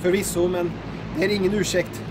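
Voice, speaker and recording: Loyal sergeant sidekick, male actor, high-pitched, wry, florid talker, adventure audio drama. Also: little pitch movement, noisy background, natural voice. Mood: dry, playful.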